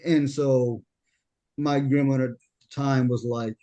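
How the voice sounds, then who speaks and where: A young man with a deep voice hosting a casual podcast chat, often stammering and repeating words as he tells stories. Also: fairly even intonation, a little slow, dark tone, muffled recording. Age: young adult. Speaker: podcast host.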